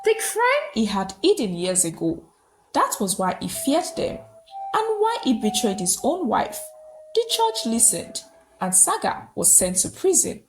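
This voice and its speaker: Female folktale narrator, solemn and suspenseful, unhurried, with highly animated intonation over faint music. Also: low-quality recording. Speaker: storyteller. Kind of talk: dramatic scripted folktale narration.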